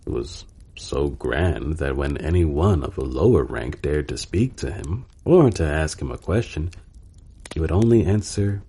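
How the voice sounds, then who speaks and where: A man narrating a bedtime storybook in a calm, soothing and unhurried manner. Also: rumbling low, slightly rough.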